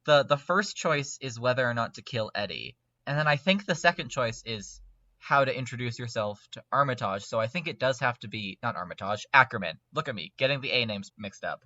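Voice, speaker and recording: Male fan-podcast panelist, bright and keen, conversational, recorded dry with dulled fidelity. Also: mid-range voice, lively intonation, brisk, no echo, highs cut off. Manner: enthusiastic. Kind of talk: casual fan discussion.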